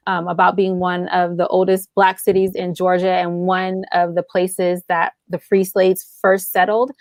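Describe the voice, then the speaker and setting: A woman's voice: on the low side, level tone, unhurried, no room sound. An American woman speaking casually and emphatically as an interview guest, with an enthusiastic manner. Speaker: podcast guest, audio drama writer and producer.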